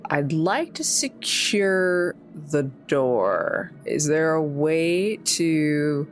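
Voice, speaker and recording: A woman's voice: low-pitched, animated, extremely slow, slight background noise. A woman chatting casually and playfully as a player in a tabletop roleplaying game.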